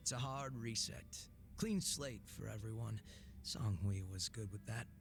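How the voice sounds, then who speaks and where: A former gang boss in a game cutscene, his low voice full of gravelly fry. He is laid-back and sardonic, teasing and swearing casually over background music. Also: unhurried. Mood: matter-of-fact. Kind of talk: English video game cutscene acting. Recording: music under the dialogue.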